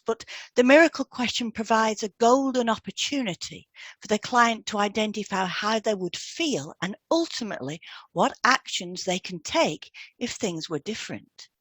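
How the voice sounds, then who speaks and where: A woman co-hosting a podcast as a hypnotherapist, reading a script with measured, deliberate phrasing. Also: medium pitch, fairly bright tone, dry recording.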